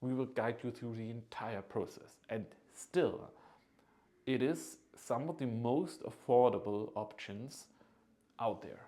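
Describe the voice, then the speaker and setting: A man in his thirties giving an informal, earnest promotional pitch as a consultant, persuasive and often checking in with the listener. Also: slow pace, dark tone. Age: 30s. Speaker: agency founder and study consultant.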